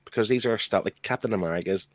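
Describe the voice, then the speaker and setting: A man's voice: fairly low pitch, racing, no room sound. A man giving his opinions as a panelist in a casual, blunt, conversational way. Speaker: comic book show panelist.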